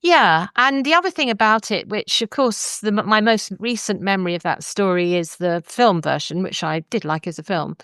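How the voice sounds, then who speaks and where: A woman's voice: medium pitch, moderate pace, few pauses, a bit bright, clear, resonant tone, dry recording, clean studio sound. A woman hosting a podcast in a conversational presenting style. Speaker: podcast host.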